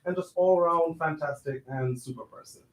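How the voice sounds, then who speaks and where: A man's voice: on the high side, fairly quick. A man hosting a live theater event, giving a formal introduction to an audience. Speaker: host introducing the playwright. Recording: echoey room with background noise.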